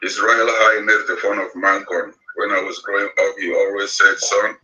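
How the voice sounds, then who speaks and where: A Cameroonian man in his sixties speaking as an interview guest, slow and halting with many fillers. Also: lowish voice, little pitch movement, bright, forceful tone. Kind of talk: remote interview. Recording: distant, dull remote call audio.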